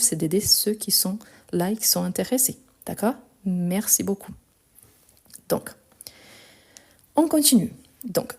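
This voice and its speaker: A woman's voice: medium pitch, darkish tone, slightly rough. A woman teaching grammar in a live lesson, didactic and insistent, repeating key points. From France and Brazil. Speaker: French teacher.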